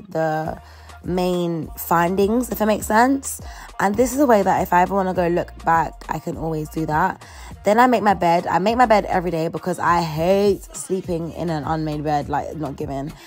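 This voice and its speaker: A woman in her early twenties with a London accent, chatting casually in a slangy, playful way as she narrates a vlog. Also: fairly low pitch. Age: early 20s. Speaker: vlogger.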